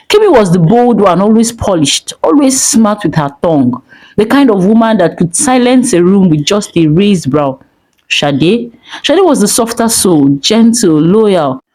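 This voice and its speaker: A woman narrating a dramatic folk tale, expressive and dramatic. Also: mid-range voice, rough recording. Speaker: storyteller.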